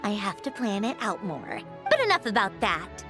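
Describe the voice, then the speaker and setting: A woman voicing a proud, brisk young female engineer in an anime game, clipped and easily flustered. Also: medium pitch, sing-song, natural voice. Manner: clipped, commanding, stammers when flustered. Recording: music playing behind.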